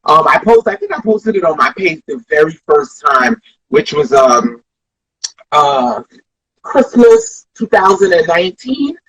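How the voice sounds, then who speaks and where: A woman's voice: low voice, very animated, a little slow, fairly bright tone, slightly rough, poor audio. A woman comedian from Brooklyn with an American accent, telling stories in a rambling, reflective way full of restarts in a casual interview. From Flatbush, Brooklyn, New York. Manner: rambling, reflective, frequent restarts and "you know".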